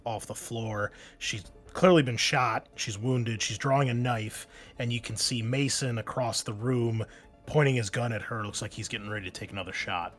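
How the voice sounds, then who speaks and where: A man's voice: on the low side, fairly bright tone. A man acting as game master, narrating scenes and explaining rules in a tabletop roleplaying game. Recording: background music under the voice. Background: music.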